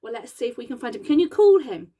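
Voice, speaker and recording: A soft-spoken woman puppeteer chatting gently and encouragingly with her puppets for children. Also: fairly high pitch, sing-song, quick pace.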